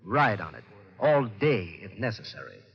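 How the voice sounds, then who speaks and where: A dull, reverberant old radio recording carries a husky South politician villain. His rises and falls expressively. He is courteous, formal and quietly menacing. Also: lowish voice, very animated, moderate pace, heavily acted. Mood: coldly threatening. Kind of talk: 1940s-50s radio drama acting.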